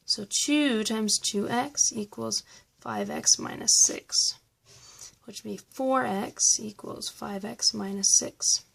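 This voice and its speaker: A woman math teacher talking through review problems step by step, slightly hoarse from losing her voice. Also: medium pitch, takes it slowly, fairly bright tone. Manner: patient, step-by-step explaining. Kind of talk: recorded math review lesson.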